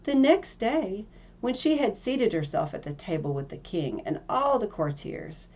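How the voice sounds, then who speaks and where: A woman's voice: medium pitch, very animated, unhurried, a little mellow, lo-fi sound. A woman narrating a fairy tale from a script as a storyteller.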